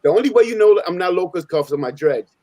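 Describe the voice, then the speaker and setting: Male panel storyteller, native Spanish speaker, slangy and emphatic, rapid delivery, slightly high register. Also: expressive, dark tone, no room sound, studio quality. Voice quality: slangy, informal street talk. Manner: emphatic, repeats phrases, acts out quoted dialogue. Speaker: panelist on a live open panel. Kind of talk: casual animated storytelling.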